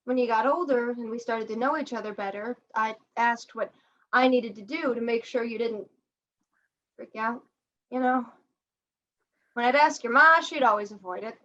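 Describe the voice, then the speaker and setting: Kindly, rough-edged older janitor played by a young woman, stage play, chatty and folksy; higher voice, dull recording. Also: pushed and bright. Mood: gentle, reflective. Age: young adult. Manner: folksy, rambling, halting. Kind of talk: student one-act stage play acting.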